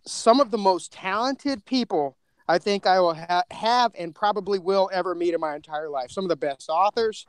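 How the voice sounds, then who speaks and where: Male podcast co-creator, high voice, dry sound, rambling casual storyteller. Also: moderate pace, clean tone, no room sound.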